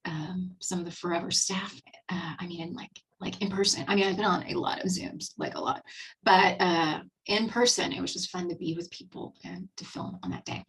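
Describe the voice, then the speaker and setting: A woman's voice: fairly low pitch, fairly even intonation, normal speed, a bit bright, slightly rough, far from the mic, rough recording. A woman in her fifties with a Texan accent, hosting a casual webinar on skincare in a chatty, upbeat way with many fillers and restarts. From Texas, USA. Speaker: webinar host and skincare presenter.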